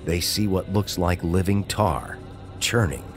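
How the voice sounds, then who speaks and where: Low-voiced man narrating over music. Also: unhurried. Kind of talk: scripted dramatic narration.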